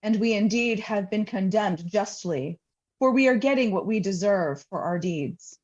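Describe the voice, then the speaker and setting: A woman who is a pastor, reading scripture aloud in a solemn, measured way. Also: mid-range voice, darkish tone. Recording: slightly dull, lo-fi sound.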